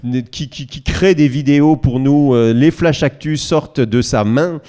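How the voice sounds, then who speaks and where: A man co-hosts an informal talk show in a medium-pitched voice. He talks in a halting way, correcting himself and slipping in playful asides as he goes. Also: warm tone. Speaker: podcast co-host. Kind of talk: casual chat before a live audience.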